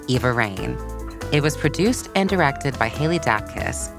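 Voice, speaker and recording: A narrator reading a scripted children's biography in an expressive, measured storytelling way. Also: mid-range voice. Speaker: podcast narrator. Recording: soft music bed behind voice. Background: background music.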